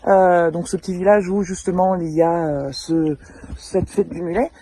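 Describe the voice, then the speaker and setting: A woman's voice: on the low side, fairly even intonation, warm tone, no echo. Hesitant woman in her thirties. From French Alps. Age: 30s. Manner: hesitant, frequent filler pauses. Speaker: amateur mule breeder. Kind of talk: casual self-introduction to camera. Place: outdoors in a field with mules.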